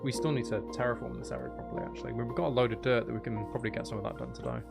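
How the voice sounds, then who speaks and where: A man giving casual gameplay commentary as a streamer, thinking out loud and chatting with viewers. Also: medium pitch, sing-song, racing, creaky, loud music behind the voice. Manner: thinks out loud, sweary, banters at game characters. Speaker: game streamer.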